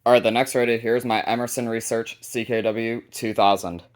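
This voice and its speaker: A man hosting a casual, unscripted hobby video showcase, talking informally and a bit haltingly. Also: medium pitch, steady tone. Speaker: hobbyist video host showing a radio collection.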